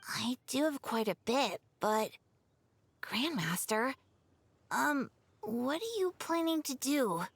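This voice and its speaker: A woman's voice: high-pitched, very animated, slow, breathy edge, no room sound, full character voice. A woman voicing an eager, polite little boy in a video game, earnest and a little hesitant, with music underneath. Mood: thoughtful, hesitant.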